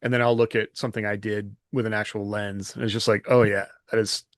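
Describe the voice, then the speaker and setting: A man's voice: fairly low pitch, flat delivery, fairly quick, no room sound, studio quality. A man chatting casually as a co-host on a podcast.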